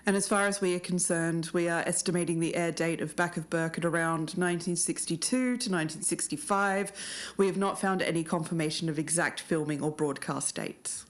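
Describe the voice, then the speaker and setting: Low-voiced woman narrating a podcast. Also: fairly quick. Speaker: podcast co-host.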